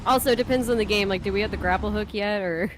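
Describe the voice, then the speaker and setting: A woman's voice: mid-range voice, fast talker, background noise. A woman co-hosting a live game stream with casual, playful banter. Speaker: co-host.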